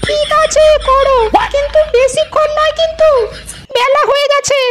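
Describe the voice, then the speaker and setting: A performer voicing a young, bossy Bengali woman in a comic cartoon, with a squeaky, artificially high voice. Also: little pitch movement, normal speed. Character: bossy scolding young Bengali wife and her giggly younger sister. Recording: music behind the voice.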